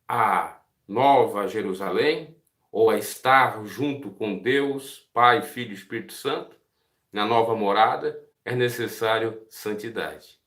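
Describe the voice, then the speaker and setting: A man's voice: mid-range voice, a bit bright, reverberant. A man with a Brazilian Portuguese accent giving a devotional Bible teaching, earnest and putting direct questions to his listeners. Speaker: preacher.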